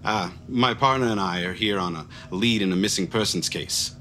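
A man playing a casual, skeptical police officer in an audio drama, halting and dry in delivery. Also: fairly low pitch, bright, forceful tone, slight background noise. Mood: offhand, official.

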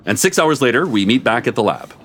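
A man reads a comic story to a live audience in a mid-range voice. His delivery is expressive and animated, with a deadpan edge and lively swings in pitch. Faint noise sits behind him. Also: fast. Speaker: story reader. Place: live performance before an audience.

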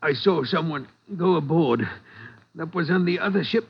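An actor playing a gravely wounded man in a radio thriller, weak and gasping in pain. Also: a little high, moderate pace. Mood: pained, desperate. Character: gravely wounded male informant, gasping out warnings. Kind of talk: 1940s radio acting. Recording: dull, lo-fi 1940s radio recording.

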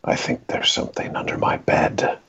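Frightened young boy played by a man, slow eerie whisper, campfire horror story. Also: fairly bright tone, dull audio, lightly acted.

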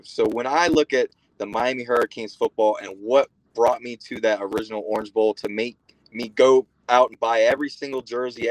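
A man's voice: medium pitch, dry recording, rough recording. A man of about thirty from Florida, a guest analyst talking football with enthusiasm and some repeated starts. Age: around 30. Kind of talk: casual sports podcast chat.

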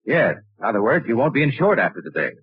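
A dull, dry old radio recording. a businesslike male insurance agent, polite and formal. His slightly rough voice moves up and down expressively. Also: mid-range voice, sing-song, quick pace, dry recording. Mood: courteous, businesslike. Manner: formal, courteous. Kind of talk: 1940s radio drama acting.

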